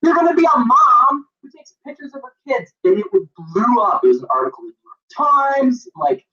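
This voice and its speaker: Animated young man on a muffled video call. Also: very high voice, moderate pace. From Maine, USA. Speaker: photographer and author, interview guest. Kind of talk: casual video-call interview.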